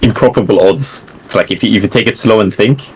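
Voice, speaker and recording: A man being interviewed about the games he plays. He talks in a relaxed, rambling way, with lots of fillers. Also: fairly low pitch, fast, a little hiss or hum, poor audio. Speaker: interview guest, gamer. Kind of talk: casual online interview.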